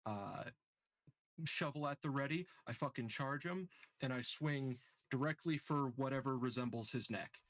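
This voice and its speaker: A man's voice: medium pitch, normal speed, a bit bright. A man chatting casually as a player in a tabletop game, loose and salty in his talk. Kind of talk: casual chat during a game.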